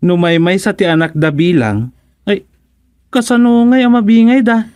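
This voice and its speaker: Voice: medium pitch, normal speed, darkish tone, clean tone, no room sound, studio quality, lightly acted. An actor playing a fretting village gossip in a radio comedy, chatty and exclamatory, with an Ilocano accent. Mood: concerned, gossiping. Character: gossiping villager fretting over neighbours' separation. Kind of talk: radio serial drama-comedy acting.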